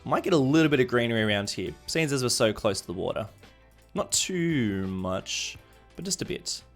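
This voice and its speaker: A man's voice: mid-range voice, lively intonation, normal speed. Easygoing man live-streaming over music. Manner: easygoing, thinking aloud. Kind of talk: casual game-stream commentary, chatting with viewers. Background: background music.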